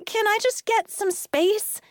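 A woman's voice: sits high, sing-song, slow pace, no room sound, studio quality, pitched above the performer's own voice, full character voice. A woman voicing a reluctant, overwhelmed schoolgirl heroine in a children's audiobook, polite but exasperated.

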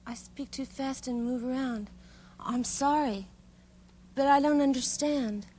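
A woman playing a gentle, welcoming lady in children's television make-believe, speaking with patient, courteous hesitance. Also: sits high, measured pace, a little hiss or hum. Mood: puzzled. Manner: patient, courteous, hesitant, repeats what she hears.

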